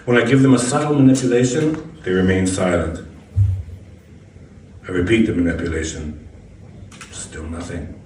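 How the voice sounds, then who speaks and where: Low-voiced man reading, echoey and distant. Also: moderate pace, long pauses, a little mellow. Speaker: reader of a short story. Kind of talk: scripted narration, live literary reading. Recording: echoey room, distant mic, background noise.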